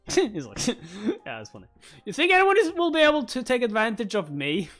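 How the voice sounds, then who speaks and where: A man hosting a live read-along reaction, excitable and jokey, in casual commentary. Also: extremely high pitch, normal speed, soft background music. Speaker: reaction video host.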